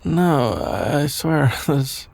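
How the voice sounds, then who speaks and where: A man playing a shy, sleepy young best friend in an ASMR roleplay, stammering, hesitant and evasive in a creaky, drowsy voice. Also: fairly high pitch, lively intonation, extremely slow, a little mellow, exaggerated voice. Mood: embarrassed, evasive.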